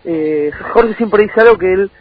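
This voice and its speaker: A young man with a Buenos Aires accent speaks in a high voice as a political guest on the radio. His talk is quick but halting, with many false starts. The sound is dull and lo-fi, with music behind it. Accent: Buenos Aires Argentine. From Avellaneda, Buenos Aires, Argentina. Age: young adult. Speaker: political candidate being interviewed.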